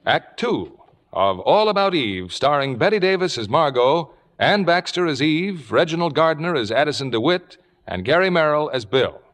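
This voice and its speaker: Old time radio announcer guy, formal and polished sales delivery, really lively intonation. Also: on the high side, normal speed. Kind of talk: scripted 1950s radio announcing and sponsor pitch.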